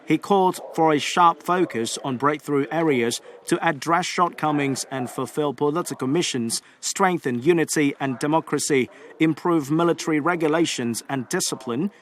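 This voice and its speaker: A man reading scripted news in a formal, measured way as a presenter. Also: a little high.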